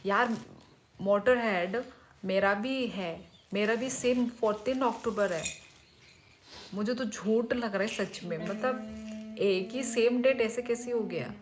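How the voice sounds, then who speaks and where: A woman's voice: medium pitch, slow, background noise. Chatty woman in her thirties. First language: Kokborok. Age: 30s. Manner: chatty, reads viewer names aloud. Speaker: livestream host. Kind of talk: casual chat with viewers.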